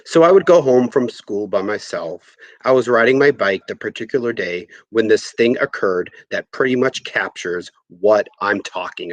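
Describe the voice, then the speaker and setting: A man reading a first-person story aloud in an expressive, conversational way. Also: lowish voice, no echo, low-quality recording. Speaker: audiobook reader.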